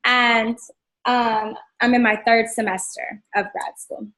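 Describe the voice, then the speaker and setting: Hoarse young woman on a video call. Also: fairly high pitch, flat delivery, takes it slowly, fairly bright tone. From North Carolina, USA. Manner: chatty, laughs between points. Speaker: graduate student. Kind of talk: class self-introduction.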